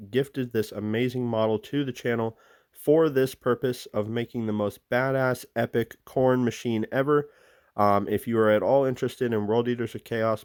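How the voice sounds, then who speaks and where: A man's voice: medium pitch, darkish tone, clean tone, no echo, studio quality. A man hosting his hobby channel, walking through a finished model in a rambling, enthusiastic way with many fillers, a little sick and losing his voice. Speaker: miniature hobby channel host. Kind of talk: casual show-and-tell walkthrough.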